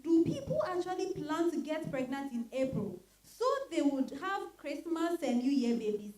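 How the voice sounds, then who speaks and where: A bossy, caring, worn-out mother, acted by a woman. Her high voice swings dramatically up and down, full of exclamations, in a dramatized reading. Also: animated, normal speed, dark tone, slight background noise. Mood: weary, exasperated. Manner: exclamatory, peppered with interjections. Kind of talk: dramatized stage-style reading.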